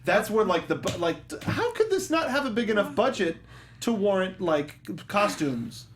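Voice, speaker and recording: Male panel-show host, slightly high voice, conversational, riffs and acts out imagined lines. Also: very animated, moderate pace, slight background noise. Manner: acts out mock quotes while riffing. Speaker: host of a film review show. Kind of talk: casual panel discussion.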